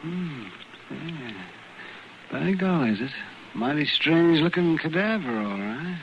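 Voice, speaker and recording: An older frontier doctor, folksy and fussy, full of mutters. His fairly high voice swings up and down animatedly on a noisy old radio drama recording. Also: animated, a little slow, highs cut off. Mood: absorbed, eager. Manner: fussy, muttering, hesitant interjections.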